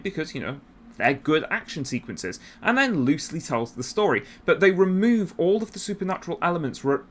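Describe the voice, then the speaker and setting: Higher-voiced man, casual YouTube commentary. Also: a bit bright, a little hiss or hum. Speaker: YouTuber, channel host. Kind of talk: casual video essay commentary.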